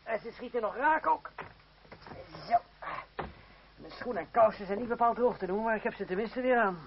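Witty young guy on the run, highish voice, slow and mocking, muffled old radio drama. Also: expressive, gravelly, background noise. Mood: amused irony. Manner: sardonic, theatrical, talking to himself and others. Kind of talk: vintage Dutch radio play acting.

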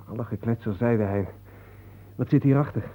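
A man's voice: medium pitch, moderate pace, warm tone, lightly acted. An actor playing a blunt, shaken man on edge after a nightmare, speaking colloquially and hesitantly in a radio play. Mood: irritable, defensive. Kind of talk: Dutch radio play acting. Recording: thin phone-like old radio play recording.

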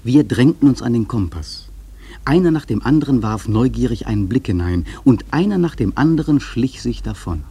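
Seafaring narrator, dark mellow tone, slightly rough voice, reflective, literary radio drama storytelling. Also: male voice, medium pitch, animated, moderate pace. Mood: measured, reflective. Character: reflective seaman narrating a whaling voyage.

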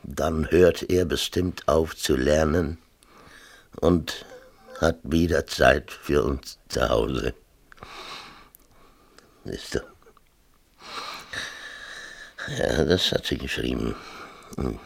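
An actor playing a defensive, self-pitying middle-aged small-town mayor and father in a radio play, talking in a halting, colloquial way and repeating himself. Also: extremely low pitch, gravelly, breathy edge, full character voice. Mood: subdued, pained. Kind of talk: 1970s German radio play acting.

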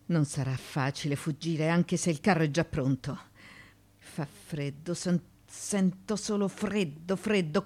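A woman acting a proud, desperate ancient Greek woman in a theatrical radio drama monologue, speaking with deliberate intensity and questioning herself. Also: low-pitched, a little mellow. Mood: anxious, bitter.

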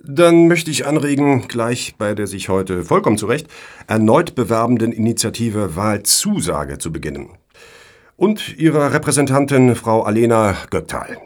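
An actor playing a genial, self-satisfied foundation board member in a satirical radio play, with pompous, flowery phrasing. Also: male voice, mid-range voice, big pitch swings, normal speed, natural voice. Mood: genial, officious.

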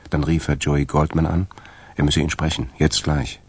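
A man acting as the narrator of a scripted crime radio drama, with a gravelly voice. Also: very deep voice, level tone, moderate pace, exaggerated voice. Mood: measured storytelling. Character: male narrator of a crime story.

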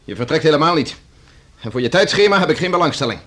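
An actor playing a stern, contemptuous husband in a Dutch radio drama, speaking with biting authority. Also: male voice, a little high, animated, fast, a bit bright. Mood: cold.

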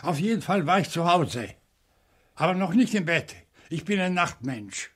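A polite, bookish professor in a radio crime drama. His voice sits a little high for a man. He speaks with careful, deliberate precision and old-school courtesy. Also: very animated, fairly bright tone, breathy edge, exaggerated voice. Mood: polite, measured. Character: courteous, bookish professor, a night owl with a dog. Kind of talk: German radio crime play acting.

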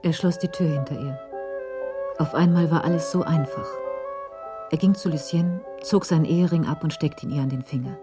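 A woman's voice: low-pitched, normal speed. A woman narrating a German radio drama in a scripted storytelling style. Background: music.

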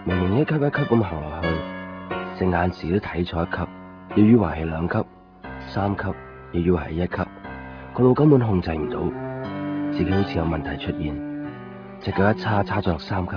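An earnest young man with a fairly low voice and an introspective, brooding manner. It is radio drama dialogue and monologue, slightly dull in sound, with loud music underneath. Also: animated, brisk, pauses often.

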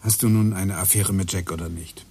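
An actor playing Graham, a man in a German radio play who muses haltingly about time and particles. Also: low-pitched, fairly even intonation, creaky, a little mellow, breathy edge, heavily acted. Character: brooding man lost in theories of time.

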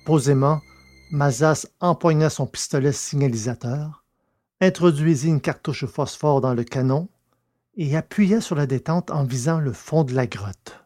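A man reading a science fiction story aloud in a steady, measured narration. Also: fairly high pitch, soft background music. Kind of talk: scripted audiobook narration.

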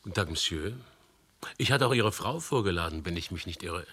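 An actor playing a measured, politely insistent police commissioner in a radio drama. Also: male voice, deep voice, expressive, sharp tone, breathy edge, full character voice. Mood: cool. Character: calm, probing male police commissioner. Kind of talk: German radio drama acting.

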